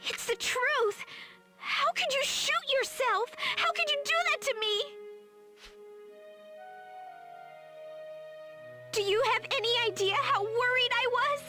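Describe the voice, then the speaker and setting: An actress playing a teenage girl army medic in a video game, earnest, high-strung and tearful. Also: normal speed, music in the background. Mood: upset, scolding. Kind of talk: dramatic video game voice acting.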